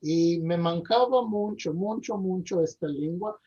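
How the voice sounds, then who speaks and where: A man being interviewed over a video call, speaking haltingly with many pauses, fillers and repeated words. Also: sits high, dark tone, breathy edge. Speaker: interview guest. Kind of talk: hesitant conversational interview. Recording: dull lo-fi video call audio.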